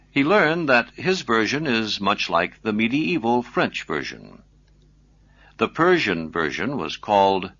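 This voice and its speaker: A man presenting scripted educational radio narration in a measured, explanatory manner. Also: fairly low pitch, fairly bright tone, lo-fi sound. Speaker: programme presenter.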